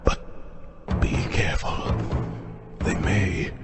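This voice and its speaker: An actor playing an obsessed, grim vampire hunter doctor in a horror-comedy radio drama, speaking with dramatic urgency. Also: male voice, sits low, drawn-out words, loud music behind the voice. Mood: excited, coaxing.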